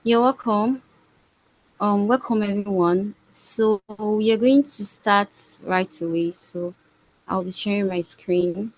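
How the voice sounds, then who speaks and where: A woman hosting an online training as program manager, introducing the session in halting short phrases. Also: mid-range voice, fairly even intonation, no room sound, low-quality recording. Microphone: video call.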